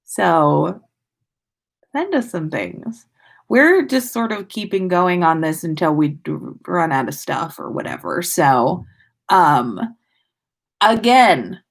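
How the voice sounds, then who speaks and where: A woman hosting a casual story livestream, reading aloud expressively and chatting with listeners. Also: medium pitch. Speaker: podcast host reading listener fan fiction aloud.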